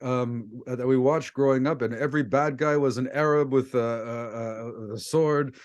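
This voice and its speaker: A man's voice: medium pitch, steady tone, moderate pace, muffled recording. A man from Egypt hosting a casual interview, talking in an opinionated, anecdotal way. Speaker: host, debate coach interviewing a guest.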